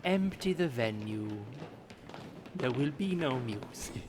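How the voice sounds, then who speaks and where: A man playing Juliet, a serene, stubborn new-age guru woman, speaking in slow, solemn, commanding phrases as in an improvised comedy meditation. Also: medium pitch, animated, in a large hall, noisy background. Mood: solemn, hypnotic.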